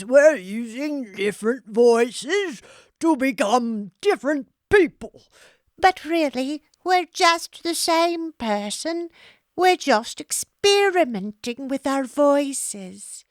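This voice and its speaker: Playful lady co-host on kids radio, high bouncy voice, slow, dry room. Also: dry recording. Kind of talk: children's radio hosting.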